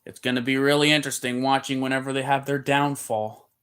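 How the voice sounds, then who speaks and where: A man's voice: medium pitch, little pitch movement, quick pace, fairly bright tone, clear, resonant tone. A man speaking as a plush toy character on a livestream, rambling through crude banter with frequent restarts. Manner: rambling, stumbles and restarts mid-sentence.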